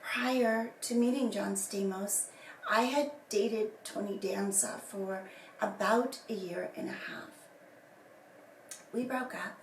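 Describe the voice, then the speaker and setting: A woman giving a rambling personal account, her voice still hoarse and breathy from illness, often dropping into whispers. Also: medium pitch, slow pace, a little hiss or hum. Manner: rambling, many fillers and restarts. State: recovering from lost voice, hoarse and whispery. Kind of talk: casual personal statement to camera. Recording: echoey room from a distance, faint ambience.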